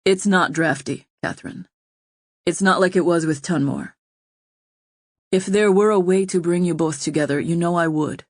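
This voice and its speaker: A woman doing a soft-spoken troubled nobleman. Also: fairly low pitch, no echo, studio quality. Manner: soft, measured, rueful. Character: troubled loyal nobleman, gentle and helpless. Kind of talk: audiobook reading.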